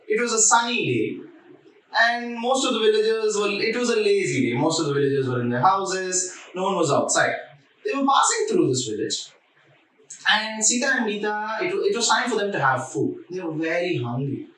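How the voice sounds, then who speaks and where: A man's voice: high voice, big pitch swings, normal speed, a bit bright. A man telling a story to children in a conversational, explanatory way, often repeating his phrases. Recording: very echoey room, distant mic.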